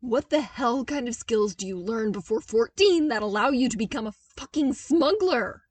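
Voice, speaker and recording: A woman voicing an incredulous, hot-tempered young military man in an audiobook, with emphatic, drawn-out words. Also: on the high side, lively intonation, husky, no room sound, distant mic. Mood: shrill, demanding. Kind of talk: audiobook character dialogue.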